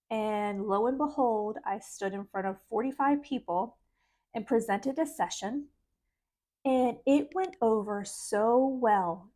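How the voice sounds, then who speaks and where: A middle-aged woman with a soft voice, talking earnestly and casually to camera as a spiritual vlogger. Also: on the high side, poor audio. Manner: earnest, chatty, laughs between thoughts.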